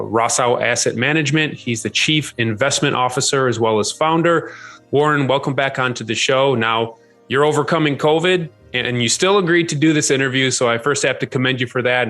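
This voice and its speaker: A man's voice: medium pitch, fairly quick, fairly bright tone, soft background music. A man hosting an interview show, welcoming his guest and asking questions in a friendly, conversational way. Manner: friendly, conversational, some fillers. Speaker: podcast host.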